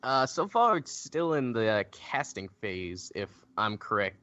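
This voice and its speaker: Bright-voiced man on a muffled call. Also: big pitch swings, measured pace. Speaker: podcast guest, fan dub editor. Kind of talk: casual chat. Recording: dull low-fidelity voice chat call.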